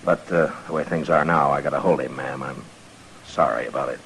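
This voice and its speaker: A deep, weighty American marshal of the old frontier. He is steady and weary, measured and sparing with words. The vintage radio drama recording carries some noise. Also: vocal fry, full character voice, low-quality recording. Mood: firm, measured. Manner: measured, hesitant pauses, laconic. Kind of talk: old-time radio western acting.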